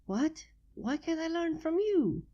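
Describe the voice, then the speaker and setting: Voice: very high-pitched, big pitch swings, takes it slowly, mellow, highs cut off, voice pushed up, exaggerated voice. A fanfiction reader voicing a curious little female dragon child who keeps asking questions.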